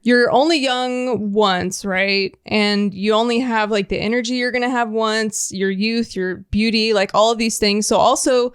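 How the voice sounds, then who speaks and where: A woman's voice: medium pitch, clean tone. A woman chatting casually as a podcast co-host, blunt and free with swearing. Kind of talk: casual chat.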